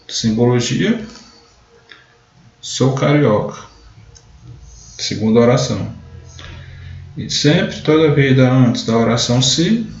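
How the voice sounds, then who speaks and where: A man teaching a logic class in a live online lesson, explaining step by step and often starting with "então". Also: mid-range voice. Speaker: math teacher. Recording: echoey room, dull lo-fi sound.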